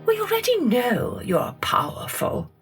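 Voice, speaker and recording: A woman's voice: on the high side, huge pitch swings, measured pace, slightly rough, soft background music, voice pushed up, heavily acted. A woman voicing a weary, irritable but determined female rain spirit with an exasperated, firm manner in a children's storybook. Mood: irritated.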